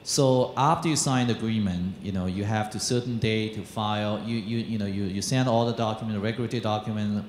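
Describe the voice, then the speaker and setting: A man's voice: on the low side, a little hiss or hum. Man speaking in a roomy conference hall. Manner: halting, many fillers and restarts. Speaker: panel moderator. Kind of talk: conference panel talk. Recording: echoey hall with faint ambience.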